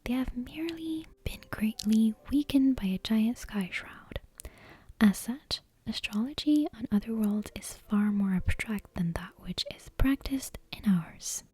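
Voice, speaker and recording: A woman whispering a bedtime story in ASMR style, softly and expressively, taking her time over the phrases. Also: fairly high pitch, breathy edge. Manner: soft, unhurried, expressive whispering.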